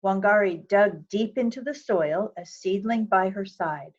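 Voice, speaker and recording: A woman reading a picture book aloud for children, with measured, expressive storytelling phrasing. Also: mid-range voice, lo-fi sound. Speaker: volunteer reader of a children's book.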